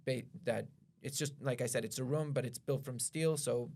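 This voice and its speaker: A man from Israel, a guest on a podcast, explaining things at length in a measured way, pausing and correcting himself as he goes. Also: mid-range voice, darkish tone. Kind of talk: explanatory conversation.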